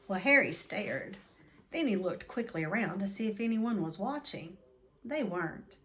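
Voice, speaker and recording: A woman reading a children's book aloud expressively, with deliberate dramatic emphasis. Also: mid-range voice, expressive, fairly bright tone, a little hiss or hum. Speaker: read-aloud storyteller.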